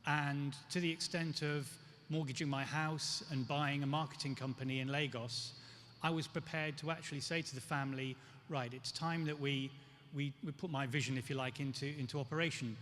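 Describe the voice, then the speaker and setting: A man's voice: on the high side, fairly even intonation, normal speed, a bit bright. A middle-aged man from England, raised in Nigeria, giving a chatty, joking conference speech. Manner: chatty, joking and self-deprecating. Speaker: guest speaker, PR executive. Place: large event hall. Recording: long hall reverb, faint room noise.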